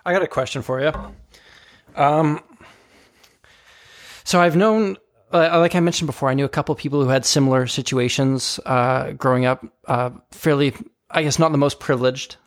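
Creaky-voiced man chatting casually. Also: medium pitch. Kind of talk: casual chat.

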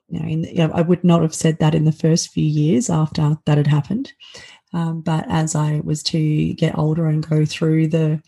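A woman's voice: sits low, vocal fry, warm tone, husky. A woman in her forties with an Australian accent, a guest on a podcast interview, speaking earnestly with many fillers and restarts. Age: 40s.

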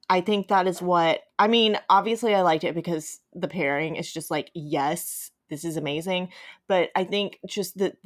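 A woman hosting a casual podcast discussion, speaking in an enthusiastic, emphatic and playfully teasing way. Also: fairly low pitch. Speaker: podcast host.

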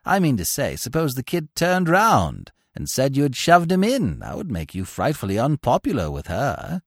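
A man reading aloud, voicing a lovesick young man who speaks softly, slowly and wistfully. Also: wildly swooping pitch, dry recording, studio quality. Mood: hushed. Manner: low, hushed, slow and wistful.

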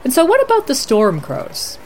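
A woman's voice: high-pitched, huge pitch swings, moderate pace, soft background music. A woman playing a composed news anchor at war, putting formal questions to correspondents in a radio play. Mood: businesslike. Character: composed female news anchor covering a fantasy battle. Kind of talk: radio news broadcast roleplay.

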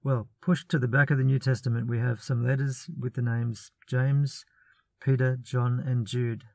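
Calm man explaining scripture, dry sound. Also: mid-range voice, moderate pace, vocal fry, no room sound. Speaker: biblical studies presenter. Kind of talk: measured scripted commentary.

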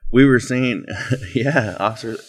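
A man's voice: mid-range voice, unhurried, slightly rough. Young dude hosting a podcast, swears constantly, teases his buddy, laughs a ton. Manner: profane, teasing, laughs a lot. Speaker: podcast host. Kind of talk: casual podcast banter.

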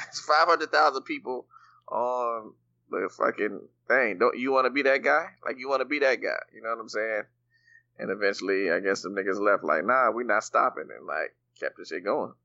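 Bright-toned man, excited storyteller. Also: fairly low pitch, normal speed, creaky, lo-fi sound. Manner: enthusiastic storyteller, slangy. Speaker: podcast co-host. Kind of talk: casual chat.

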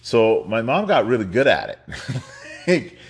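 A man's voice: mid-range voice, sing-song, a little slow, breathy edge. A man in his fifties from New York, telling his life story as a guest in a rambling, casual way with frequent laughs. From New York, United States. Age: 50s. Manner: rambling, laughs often, many fillers and restarts.

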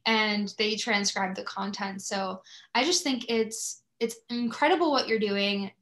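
A woman's voice: mid-range voice, a little slow, a bit bright. A woman hosting a podcast, chatting in an appreciative, effusive way as she interviews a guest. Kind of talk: conversational interview.

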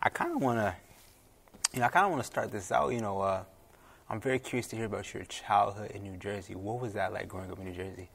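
A man's voice: fairly low pitch, quick pace, gravelly, husky. Laid-back guy hosting an interview podcast, easy repetitive back-channeling. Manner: relaxed, repeats "yeah" and "okay" often. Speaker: podcast host. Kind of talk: casual interview chat.

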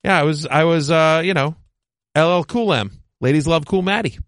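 Animated man in his forties, dry sound. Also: on the high side, moderate pace, dry recording. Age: 40s. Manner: animated, self-deprecating joker. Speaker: podcast host. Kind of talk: casual sports chat.

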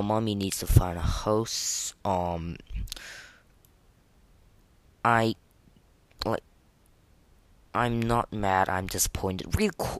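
A man hosting a casual gaming podcast, talking in a halting way and often repeating words as he restarts. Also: on the low side, no echo. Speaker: podcast host.

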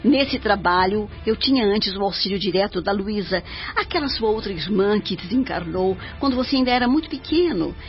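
An actress as a serene older mother spirit from a radio drama, guiding her son with devotion. Her delivery is solemn, measured and didactic, at a medium pitch. The track is a little dull, with music underneath. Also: expressive, lightly acted. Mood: solemn, tender.

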